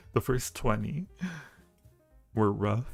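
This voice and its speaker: Low, gravelly-voiced male streamer. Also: lively intonation, very slow, no echo. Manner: playful, affectionate, addressing viewers with endearments. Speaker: livestream host and audio roleplay creator. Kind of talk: casual livestream chat with viewers. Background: background music.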